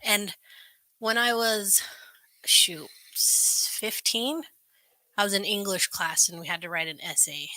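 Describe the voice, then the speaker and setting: A woman with a very bright, crisp-edged voice of middling pitch talks as a panelist on a recovery show. She shares in a casual, reflective way, recorded close and dry. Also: a little slow, no room sound, poor audio. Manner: informal, reflective, conversational. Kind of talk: casual personal sharing.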